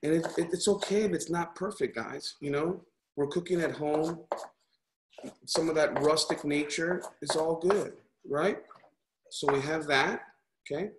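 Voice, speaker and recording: A man's voice: on the high side, a little slow. A man hosting a casual cooking class, chatty and encouraging, often checking in with his listeners as he demonstrates. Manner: upbeat, encouraging, chatty with frequent check-ins like "right?" and "okay?". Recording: echoey room, background noise.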